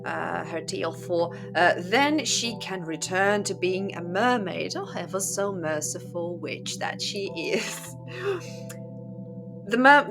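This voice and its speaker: A woman with a mid-range voice tells a story as a casual channel host, taking her time as she goes. Her delivery is conversational and opinionated, with a sardonic edge. Instrumental music plays clearly behind her. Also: medium pitch.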